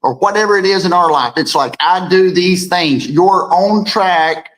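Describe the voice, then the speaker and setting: Male co-host, deep voice, rambling conversational delivery, reads comments aloud. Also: measured pace, fairly bright tone, slight background noise, low-quality recording. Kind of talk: casual morning show chat.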